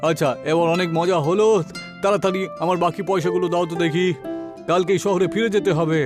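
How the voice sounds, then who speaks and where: A man plays a grasping cartoon building contractor from the city, smug and patronising. The voice is high and brisk, over clear music. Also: fairly even intonation, close to an everyday voice. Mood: smug, impatient.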